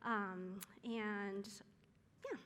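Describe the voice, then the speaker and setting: A woman's voice: medium pitch, fairly even intonation, very slow, fairly bright tone, big-room sound. A woman whose first language is Chinese, talking casually to a church group in an earnest, hesitant way with many ums. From Taiwan or China, raised in Seattle area. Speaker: guest speaker at a church moms group. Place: church meeting room.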